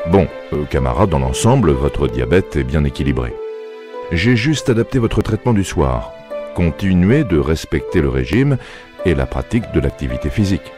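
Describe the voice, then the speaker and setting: A man voicing a calm, instructive doctor and explainer in an educational cartoon, speaking in a measured way. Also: extremely low pitch, over music. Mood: reassuring, explanatory. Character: calm, reassuring male doctor and health explainer. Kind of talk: educational cartoon narration and acting.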